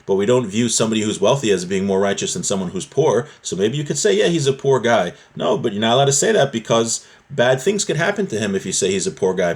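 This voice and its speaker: Man teaching a religious text conversationally. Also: on the low side. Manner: conversational, explanatory, often checking with "right?". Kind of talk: text-based religious lesson, reading Hebrew and explaining it in English.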